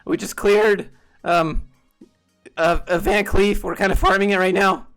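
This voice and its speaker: Soft-voiced man chatting casually on stream. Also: high voice, measured pace, smooth, faint music behind. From Southern United States. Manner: relaxed, rambling, laughs often, many fillers. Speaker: streamer and host. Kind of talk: casual live-stream chat.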